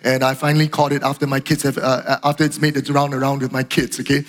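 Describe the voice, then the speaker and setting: A middle-aged man preaching a sermon as pastor, earnest and repetitive, slightly hoarse from a cough. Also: mid-range voice, level tone, quick pace. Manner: earnest, repeats phrases, fillers and restarts. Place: church auditorium. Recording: long hall reverb.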